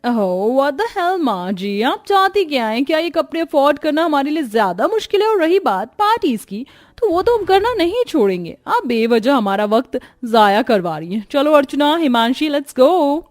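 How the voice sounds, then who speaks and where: Snooty spoiled young wife. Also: female voice, sits high, big pitch swings, normal speed, few pauses, dry recording, clean studio sound. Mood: defiant. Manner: snooty, mixes in English. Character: arrogant spoiled young daughter-in-law. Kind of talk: cartoon voice acting.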